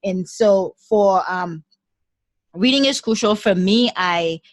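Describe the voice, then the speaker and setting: This is a Haitian-accented woman talking as a podcast guest in an animated, repeating style. Her pitch sits in the middle for a woman. The sound is dry and close, with no room echo. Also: slow pace. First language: French and Haitian Creole. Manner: animated, repeats words while thinking. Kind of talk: casual interview conversation.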